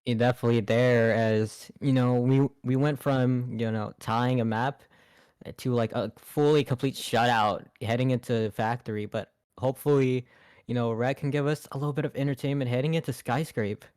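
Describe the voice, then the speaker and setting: A man's voice: mid-range voice, steady tone, moderate pace, darkish tone, clear, resonant tone. A man co-casting an esports match live as his voice wears out, rambling and filling gaps with "you know". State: losing his voice. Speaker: esports co-caster.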